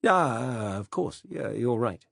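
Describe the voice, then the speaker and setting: A man's voice: mid-range voice, expressive, takes it slowly, creaky, a bit bright, breathy edge, no room sound, clean studio sound, voice pushed up, exaggerated voice. A man doing a dithering old British aristocrat. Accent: British upper-class. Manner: dithering, stammering, apologetic. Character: elderly upper-class British male official, absent-minded.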